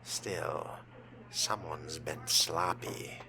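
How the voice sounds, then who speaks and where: A man role-playing a vampire character as he plays a game, musing aloud in a deliberate, formal, often hushed manner. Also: rumbling low, fairly even intonation, noisy background. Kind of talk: in-character roleplay narration of game playthrough.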